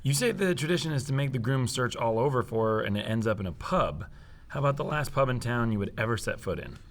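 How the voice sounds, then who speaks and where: An actor playing an easygoing, sensible office prankster in a sitcom table read, understated in delivery. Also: male voice, mid-range voice, lively intonation, fast talker, natural voice. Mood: reasonable, calm.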